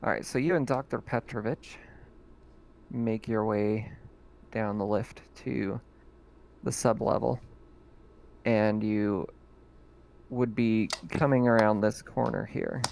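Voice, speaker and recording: Male game master, unhurried delivery, halting with fillers. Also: lowish voice, fairly even intonation, gravelly, a little mellow. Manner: slow, halting narration with fillers and laughs. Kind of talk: narrating a tabletop game.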